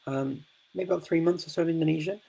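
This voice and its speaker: A man's voice: fairly high pitch, quick pace, vocal fry, dark tone, breathy edge, rough recording. A man hosting a casual live-stream Q&A, speaking in a chatty, rambling way with many fillers and restarts. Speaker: host of a live Q&A.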